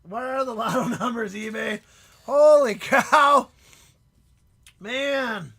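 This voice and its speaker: A man hosting a live stream in casual chat, excitable and prone to loud shouts and laughter, and losing his voice. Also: very high-pitched, slow, gravelly, breathy edge. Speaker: livestream host.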